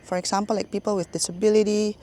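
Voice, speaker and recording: Chatty Singaporean woman with a mellow voice. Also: medium pitch, little pitch movement, fast talker, slight background noise. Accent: Singaporean English. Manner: chatty, laughs often mid-sentence. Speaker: YouTube channel host. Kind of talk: casual voiceover chat to viewers.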